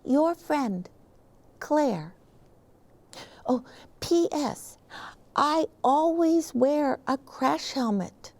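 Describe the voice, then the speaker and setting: A woman voicing a friendly young schoolgirl reading out a letter in a childlike, slightly halting way. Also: high voice, lively intonation, a little mellow, pitched above the performer's own voice, full character voice. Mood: friendly, eager.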